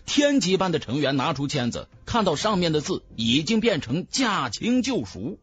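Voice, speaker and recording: A man's voice: on the high side, very animated, moderate pace, a bit bright, breathy edge, highs cut off, faint music behind. A man narrating a fantasy novel as a scripted audiobook, with emphatic, dramatic storytelling.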